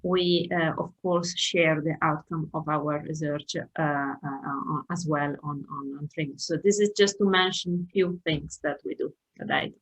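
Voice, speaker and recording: A middle-aged woman, a transport economist, introducing herself on an online panel over a video call, pausing often with fillers and restarts. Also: deep voice, fairly even intonation, breathy edge, poor audio. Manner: hesitant, many fillers and restarts.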